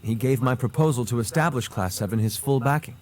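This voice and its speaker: An actor playing an eloquent, playful noble prince in a game cutscene, with a refined, theatrical manner. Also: male voice, medium pitch, brisk, darkish tone, close to an everyday voice. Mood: informative, admiring. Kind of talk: scripted game cutscene acting. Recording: music behind the voice.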